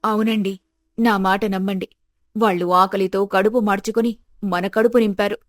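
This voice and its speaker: A woman's voice: mid-range voice, fairly even intonation, normal speed, dry recording, clean studio sound, natural voice. A woman playing a compassionate village wife in a dubbed moral-story cartoon, with earnest, emotional delivery. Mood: moved, distressed. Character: compassionate, heartfelt village wife, visiting guest. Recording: music behind the voice.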